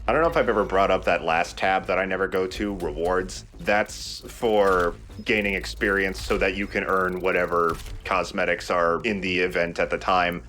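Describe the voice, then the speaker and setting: Low-voiced man doing game commentary. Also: fairly even intonation, moderate pace, few pauses, bright, forceful tone. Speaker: let's play host and player.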